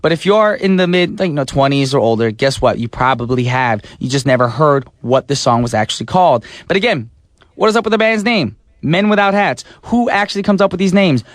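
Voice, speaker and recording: A man hosts a radio music show, telling chatty, animated stories between songs. His voice sits a little high, and the studio mic sounds close and dry. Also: no room sound. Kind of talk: casual radio DJ chat.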